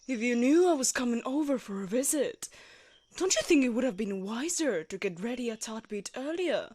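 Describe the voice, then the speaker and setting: A woman's voice: on the high side, no echo, studio quality, exaggerated voice. A woman playing a loyal young knight commander in a roleplay audio drama, formal and courteous but easily flustered. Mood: flustered, embarrassed.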